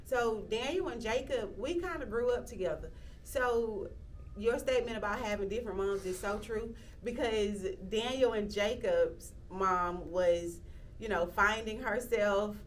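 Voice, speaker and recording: A woman's voice: mid-range voice, unhurried. Woman in her 30s, thoughtful, lots of ums, sounds a bit far from the mic w/ light room noise. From Athens, Georgia, US. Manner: reflective, with frequent "um" fillers and restarts. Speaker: interviewee, local county commissioner. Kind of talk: casual interview talk.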